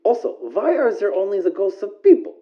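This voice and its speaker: A woman's voice: medium pitch, sing-song, brisk, dull audio, natural voice. A woman playing the host of a paranormal radio call-in show, musing theatrically in a scripted presenting style. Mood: intrigued, musing. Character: female host of an uncanny late-night radio show.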